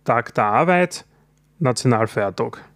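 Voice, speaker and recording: A man with a Styrian Austrian accent, chatting casually as a podcast co-host and often tagging his sentences with a quick "ja". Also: medium pitch, expressive, a bit bright, no room sound, clean studio sound. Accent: Styrian Austrian German. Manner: chatty, fills pauses with "ja". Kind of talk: casual chat.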